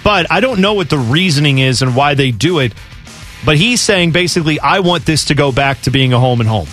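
A man's voice: a little high, expressive, a little fast, fairly bright tone, soft background music. A man co-hosting a college football talk show, reading news items and riffing in a casual way. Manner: conversational, reads news items then riffs. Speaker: sports talk co-host.

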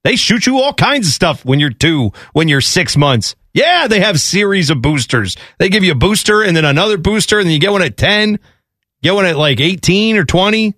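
A man's voice: sits high, big pitch swings, moderate pace, fairly bright tone, dry recording. A man co-hosting a sports radio show, joking and rambling with eager restarts at a studio microphone. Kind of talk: morning radio banter.

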